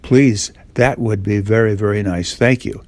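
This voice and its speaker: A man's voice: lowish voice, steady tone, measured pace, a little mellow, no echo, natural voice. Older man reading as very polite little boy. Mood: polite, grateful. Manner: polite, earnest. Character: polite, well-behaved little storybook boy.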